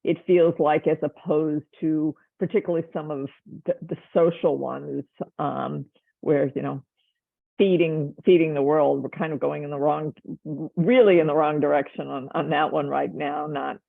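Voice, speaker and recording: Gravelly woman on a tinny line. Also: low voice, steady tone, normal speed, no echo. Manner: thoughtful, hedging with fillers. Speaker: panelist. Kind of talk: virtual panel discussion. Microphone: video call. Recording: tinny phone-like dry sound.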